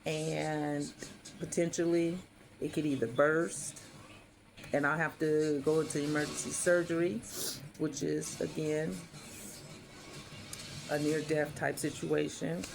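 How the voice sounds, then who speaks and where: A woman with a deep, raspy voice, hoarse while healing, telling a personal story in a casual, rambling way with many fillers. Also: level tone, slow pace, long pauses. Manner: rambling, frequent fillers and restarts. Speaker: vlogger.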